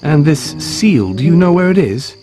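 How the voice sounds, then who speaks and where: A suave swashbuckling video game hero. He is confident and gallant, speaking tersely with teasing charm and plenty of melodic movement at a medium pitch. Music is clearly audible underneath. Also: sing-song, moderate pace. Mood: purposeful. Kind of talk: scripted video game cutscene acting.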